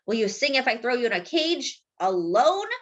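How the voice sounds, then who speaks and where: A woman's voice: fairly high pitch, very animated, normal speed. A woman voicing a cranky, bossy male mayor in a storybook, growling and snapping. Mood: threatening.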